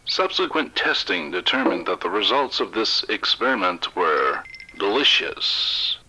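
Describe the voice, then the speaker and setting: An actor playing a smug, unrepentant research doctor, deadpan and self-satisfied, in a scripted audio drama. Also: male voice, on the low side, measured pace, a little hiss or hum, full character voice, low-quality recording.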